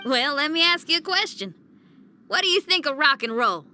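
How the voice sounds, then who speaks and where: A woman's voice: sits high, expressive, moderate pace, bright, forceful tone, soft background music, heavily acted. A woman playing a spirited, big-hearted young rock musician in a video game, folksy, punchy and upbeat. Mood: rousing. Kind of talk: video game cutscene voice acting.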